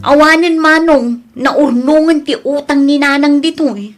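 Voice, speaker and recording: Protective adult daughter, audio drama, acted by a woman: fairly high voice, bossy, exasperated, given to scolding. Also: unhurried, soft background music. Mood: worried, annoyed.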